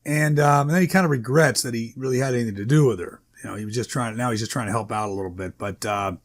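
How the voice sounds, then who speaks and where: A man with a mid-range voice talks off the cuff as an author introducing his own work. His delivery is casual and halting, rambling along with frequent hedges and self-corrections. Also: mid-range voice. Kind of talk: informal unscripted introduction, casual talk.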